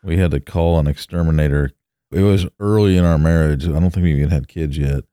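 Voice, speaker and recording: Male podcast host, extremely deep and dark, allergy-heavy voice, relaxed storyteller. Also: fairly quick, clear, resonant tone, no echo, clean studio sound. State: allergies, voice deeper than usual. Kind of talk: casual podcast chat.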